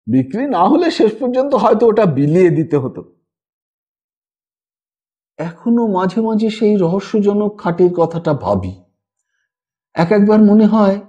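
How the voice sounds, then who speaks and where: A man with a high-pitched voice tells a ghost story in a slow, suspenseful audiobook style. His tone is mellow and expressive. The recording is slightly dull, with music playing behind him. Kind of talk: dramatic scripted audiobook narration. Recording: dull lo-fi voice track over music. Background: background music.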